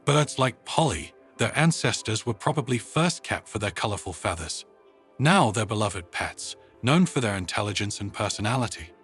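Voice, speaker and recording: Man reading as a wry female commander, husky. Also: fairly low pitch, very animated, moderate pace, a bit bright, soft background music, heavily acted. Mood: sheepish, amused. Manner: casual, wry explaining. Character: wry, good-humoured female human space commander.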